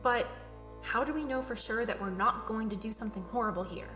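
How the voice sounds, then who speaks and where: An actress playing a skeptical young friend in an audio drama, questioning and sardonic. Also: female voice, a little high, a little fast, bright, forceful tone. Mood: earnest, insistent. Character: skeptical, wisecracking young friend tagging along on a mission. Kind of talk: naturalistic audio drama acting. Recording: roomy echo with music behind.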